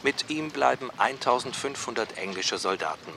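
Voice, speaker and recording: An actor playing a war reporter delivering formal dated dispatches in a radio drama. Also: male voice, medium pitch, lively intonation, normal speed. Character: male war reporter announcing siege news. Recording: clear battlefield noise behind.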